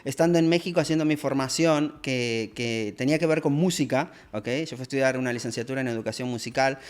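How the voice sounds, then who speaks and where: A man's voice: mid-range voice, quick pace. A middle-aged man from Argentina, a leadership coach answering interview questions in a reflective way, with many fillers and tag questions.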